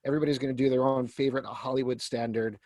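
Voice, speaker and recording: Male moderator for an online event, informal and conversational, medium pitch, dry recording. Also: level tone, rapid-fire, darkish tone, no echo. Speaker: host and panel moderator. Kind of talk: casual event hosting. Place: video call.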